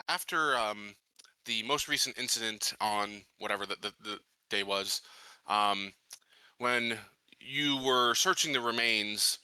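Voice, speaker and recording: A man's voice: on the low side, sharp tone, clear, resonant tone, dry recording, rough recording. A man playing a tabletop game, mixing improvised roleplay with casual table talk and hesitantly thinking aloud.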